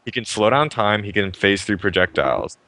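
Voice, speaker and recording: A young man hosting a live stream show, talking fast and upbeat about a fighting game and often restarting his sentences. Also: fairly low pitch, expressive, pushed and bright, dry recording. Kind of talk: casual game commentary.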